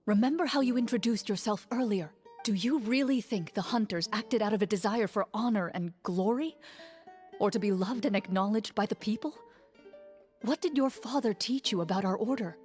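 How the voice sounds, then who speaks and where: A woman playing a composed, noble veteran monster hunter in a fantasy game, speaking in a calm, measured, firm way. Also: mid-range voice, close to an everyday voice. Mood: stern, urging. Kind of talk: scripted fantasy game dialogue. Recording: music playing under the voice.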